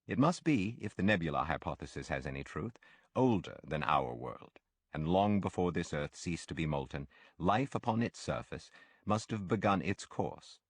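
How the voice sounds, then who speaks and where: Deep-voiced man narrating a literary audiobook. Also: animated, moderate pace, dry recording.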